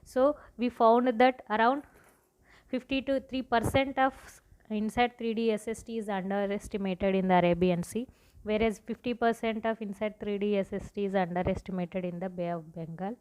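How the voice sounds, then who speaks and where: A woman with an Indian accent giving an academic research presentation in a halting, explanatory way, often repeating herself. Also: mid-range voice, steady tone, darkish tone, clean tone, low-quality recording. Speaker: research scientist presenting results.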